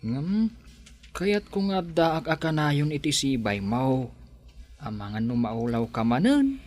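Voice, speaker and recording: A man playing a devoted, gentle and earnest young married son in a radio drama. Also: mid-range voice, big pitch swings, takes it slowly. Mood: tender.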